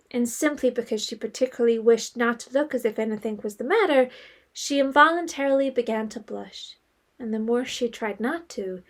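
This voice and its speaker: A woman narrating an audio drama as a scripted literary story. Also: a little high.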